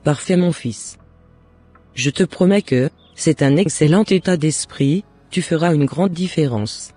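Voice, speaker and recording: A man's voice: on the high side, measured pace, dark tone, breathy edge, dry recording, soft background music. A man playing a loving, devout mother in a cartoon, giving earnest and encouraging advice in formal words. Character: devout, loving mother advising her son. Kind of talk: scripted cartoon dialogue.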